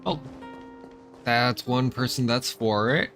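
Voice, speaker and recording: A man's voice: mid-range voice, slow pace, a bit bright. A man giving casual gameplay commentary as a streamer, musing aloud in an offhand way. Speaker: game streamer. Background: background music.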